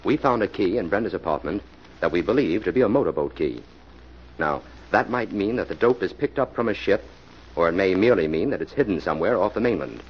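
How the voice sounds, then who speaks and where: An actor playing a brisk, confident crime fighter in a 1940s radio drama, persuasive and used to giving instructions. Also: male voice, low-pitched, lively intonation. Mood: confident, instructing. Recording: muffled, slightly noisy old radio recording.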